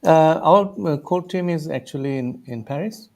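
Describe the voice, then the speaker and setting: A man's voice: fairly high pitch, moderate pace, a little mellow. A man from Bangladesh, answering interview questions as a startup founder over a video call, restarting phrases and saying "uh" often. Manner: hesitant, restarts phrases, many "uh"s.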